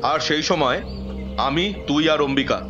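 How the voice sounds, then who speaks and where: Guy playing a confident ghost hunter type telling the tale, highish voice, loud music under it, kinda muffled. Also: measured pace, natural voice. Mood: explanatory. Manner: explanatory, storytelling. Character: confident male occult expert recounting a battle with a djinn. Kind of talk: Bengali audio drama acting.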